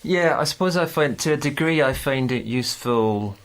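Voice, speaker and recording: A man's voice: mid-range voice, expressive, normal speed. A man hosting a podcast, thinking aloud and restarting sentences as he searches for words. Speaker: podcast host. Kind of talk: conversational podcast interview.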